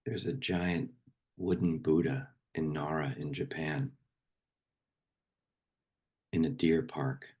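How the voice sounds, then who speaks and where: A man speaking as a meditation guide and poetry reader, slow and unhurried, with long pauses between phrases. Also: rumbling low, steady tone, darkish tone, husky.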